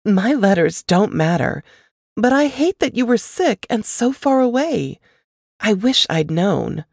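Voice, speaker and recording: A woman voicing a practical, protective older sister in a novel reading, reassuring and gently bossy. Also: medium pitch, very animated, unhurried, vocal fry, no room sound, voice pushed up, exaggerated voice. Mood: concerned.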